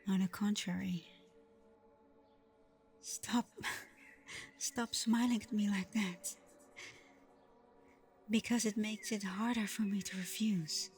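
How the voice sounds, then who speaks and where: A woman acting a protective, scolding but tender vampire girlfriend in an intimate ASMR roleplay, hushed and often slipping into breathy whispers. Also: medium pitch, fairly even intonation, slow, pauses often, faint music behind. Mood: reluctant, softening.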